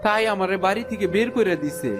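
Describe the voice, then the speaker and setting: Man voicing a high-pitched, plaintive poor village boy. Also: lively intonation, fast, music in the background. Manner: pleading, plaintive. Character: poor orphaned village boy Sumon, meek and sorrowful. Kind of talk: cartoon fairy-tale voice acting.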